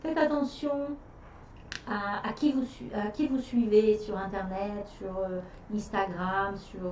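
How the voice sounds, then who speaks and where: A woman's voice: mid-range voice, fairly even intonation, a little slow, a little mellow, distant mic, slight background noise. A middle-aged French woman talking casually on a live stream, hesitant, with many fillers and restarts. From France. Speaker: nutrition specialist hosting a live stream. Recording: echoey room, voice off-mic.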